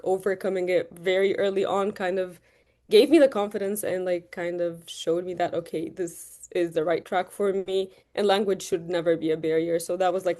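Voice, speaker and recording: A woman's voice: fairly low pitch, a little mellow. A young woman from Romania, whose first language is Romanian, answers interview questions in a casual, chatty way. She leans on "like" and "kind of" as she talks things through. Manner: chatty, many "like" fillers. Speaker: guest, medical resident.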